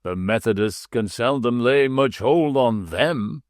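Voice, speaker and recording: A man as an easygoing gentleman traveller. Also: mid-range voice, expressive, a little slow, no echo, studio quality, close to an everyday voice. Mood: curious. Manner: easy, gentlemanly questioning. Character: genteel male traveller on horseback, relaxed and curious.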